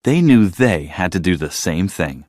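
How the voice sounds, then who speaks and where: Male storybook narrator for children: dramatic, highly animated delivery at a middle pitch, recorded dry. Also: a little slow, dry recording.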